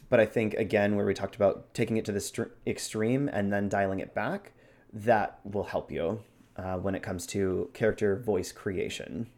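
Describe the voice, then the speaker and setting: A man co-hosting and teaching tabletop character voice tips in a conversational, animated way. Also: lowish voice. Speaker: podcast co-host giving roleplay tips.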